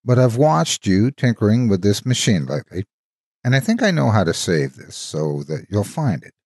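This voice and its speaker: A man narrating a dramatic story as a devoted, wistful ghostly guardian, an old soldier reminiscing tenderly in a husky, folksy way. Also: fairly low pitch, big pitch swings, normal speed, darkish tone, no room sound, studio quality, heavily acted. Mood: solemn, fond. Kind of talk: dramatic first-person horror storytime narration.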